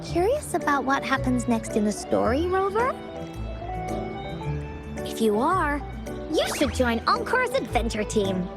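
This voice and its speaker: A woman's voice: sits high, sing-song, measured pace, long pauses, music in the background, full character voice. A woman voicing an eager, bubbly little girl adventurer in an anime video game, with a childlike, peppy delivery. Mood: eager, confident. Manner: childlike, peppy, refers to herself by name.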